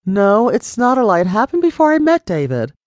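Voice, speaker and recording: A woman's voice: a little high, big pitch swings, a little fast, darkish tone, dry recording, pitched above the performer's own voice. A woman voicing a young daughter who speaks earnestly and firmly about her faith. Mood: resolute. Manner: earnest and resolute.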